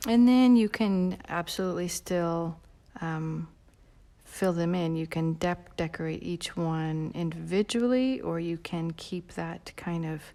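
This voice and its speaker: A woman leading a live drawing tutorial in a relaxed, rambling way with many fillers, sometimes dropping to a soft near-whisper as she works. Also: lowish voice, unhurried. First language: not Portuguese. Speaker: drawing instructor.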